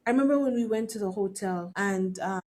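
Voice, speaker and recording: Middle-aged woman, faint Jamaican lilt, warm low-key tone, earnest faith-podcast host who stumbles and repeats words as she thinks aloud. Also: a little fast. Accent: light Jamaican. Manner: earnest, repeats words while searching for them. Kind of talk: faith-based reflective monologue.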